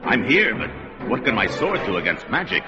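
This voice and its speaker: An actor playing a brawny young barbarian warrior who talks in a gruff, blunt way. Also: male voice, medium pitch, very animated, normal speed, natural voice. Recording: dull lo-fi radio play with music.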